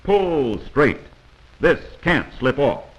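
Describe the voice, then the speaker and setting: A man's voice: medium pitch, very animated, drawn-out words, breathy edge, rough recording. An American man narrating a 1940s instructional film in a commanding, corrective manner, on a vintage film soundtrack.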